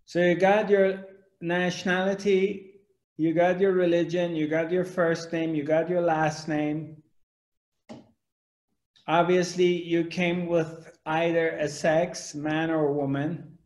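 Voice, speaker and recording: A man in his forties speaks slowly to a webcam in a room at home, asking question after question with broad hand gestures. His voice sits a little high. The room adds a noticeable echo. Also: little pitch movement, darkish tone. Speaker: spiritual teacher. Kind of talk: spiritual discourse to camera. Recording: echoey room.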